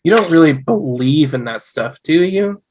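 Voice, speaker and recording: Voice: medium pitch, expressive, moderate pace, a little mellow, natural voice. An actor playing a skeptical young husband with a dry, casual manner in a comedy script read.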